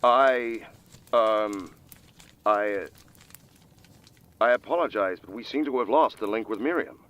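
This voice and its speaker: A man's voice: lowish voice, takes it slowly, noisy background. Man as a serious TV news anchor. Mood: serious, urgent. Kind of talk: scripted breaking-news delivery.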